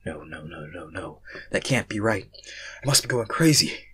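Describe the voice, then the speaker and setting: Story character: confused, panicky young man, done by a male reader, sarcastic, halting, husky. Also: deep voice, animated, fairly bright tone, pitched below the performer's own voice, exaggerated voice. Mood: panicked.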